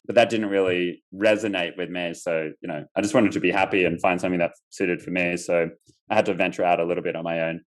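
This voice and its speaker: A man's voice: low-pitched, fairly even intonation, fast talker. A young man from Australia, a guest on an interview podcast, telling his story casually with lots of fillers and restarts. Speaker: guest entrepreneur.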